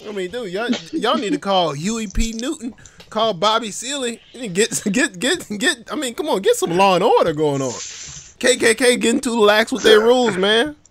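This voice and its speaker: A man hosting a comedy podcast, riffing in an animated, loud, slangy way. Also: high-pitched, moderate pace. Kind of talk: joking podcast banter.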